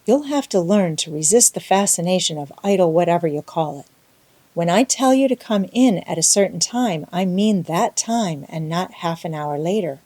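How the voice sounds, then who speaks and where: A woman's voice: on the low side, animated, moderate pace, mellow, dry recording. A woman voicing a stern, practical middle-aged farm woman in an audiobook, brusque and no-nonsense. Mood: scolding. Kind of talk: audiobook character voice.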